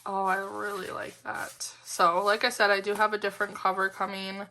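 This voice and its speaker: A woman's voice: mid-range voice, completely flat delivery, normal speed, bright, forceful tone. A woman vlogger chatting casually through an unboxing, her voice a little off from being sick, upbeat and giggly with lots of fillers. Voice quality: slightly weird-sounding sick voice. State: voice roughened from a lingering illness. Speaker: planner vlogger.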